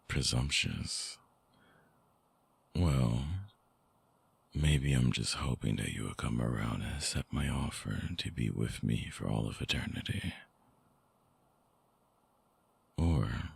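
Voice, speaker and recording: Seductive, manipulative male vampire played by a man for romantic ASMR audio drama: rock-bottom pitch, very husky and breathy, slow and hushed, close dry mic. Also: no room sound, heavily acted. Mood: teasing, possessive. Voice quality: very deep, breathy, gravelly. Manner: slow, hushed, coaxing, with long pauses. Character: brooding seductive male vampire, lovesick, possessive, manipulative ex-lover. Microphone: close microphone, dry binaural recording.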